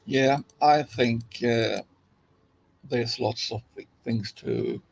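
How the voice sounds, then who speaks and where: A man's voice: mid-range voice, slow, gravelly, a little hiss or hum. A man from Finland with a Finnish accent, chatting casually on a live stream while he plays, rambling along with many fillers and restarts.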